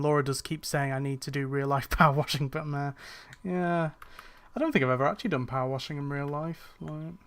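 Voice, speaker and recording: A man's voice: a little high, a bit bright. A young man streaming a cleaning game, chatting casually with his viewers, rambling with fillers and restarts and sounding a little tired. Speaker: gaming streamer. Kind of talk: casual chat while playing a game on a live stream.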